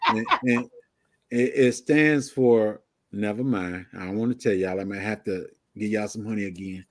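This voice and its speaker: A man's voice: fairly low pitch, moderate pace. A man, chatting casually on a livestream, often stammering and restarting his sentences. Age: 60s. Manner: stammering restarts, Southern vernacular, good-natured. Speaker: livestream co-host.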